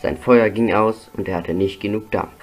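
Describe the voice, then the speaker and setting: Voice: deep voice, fairly even intonation, moderate pace, lightly acted. A performer narrating a children's cartoon fan dub as a storyteller, in scripted past-tense sentences. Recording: music under the narration.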